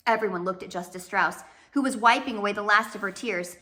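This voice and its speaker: A woman narrating a children's storybook aloud in an expressive, scripted reading style. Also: mid-range voice, fairly quick. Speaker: audiobook narrator.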